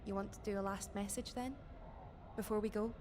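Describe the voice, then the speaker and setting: A woman's voice: mid-range voice, steady tone, fairly quick, close to an everyday voice. A woman playing an urgent, questioning young rebel in an audio drama, talking in short, hesitant phrases. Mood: anxious resolve. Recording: long reverb, faint background noise.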